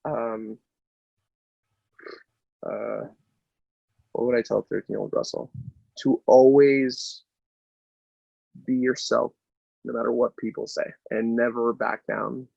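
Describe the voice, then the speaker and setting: A man in his thirties talks in a relaxed, rambling way on a podcast, swearing freely and circling back with fillers. His voice is gravelly and a little husky, and it sits close on a dry mic. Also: mid-range voice, takes it slowly, long pauses, no room sound, highs cut off. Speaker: podcast guest, sports entertainment director. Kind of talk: casual podcast conversation.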